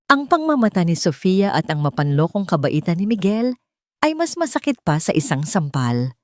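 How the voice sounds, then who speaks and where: A woman's voice: on the low side, very animated, moderate pace, no room sound, clean studio sound. A woman reading a scripted dramatic story as a narrator. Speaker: audiobook narrator.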